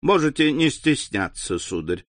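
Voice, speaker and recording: A man reading the lines of a courteous, weary police envoy, measured and polite. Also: on the high side, big pitch swings, dry recording.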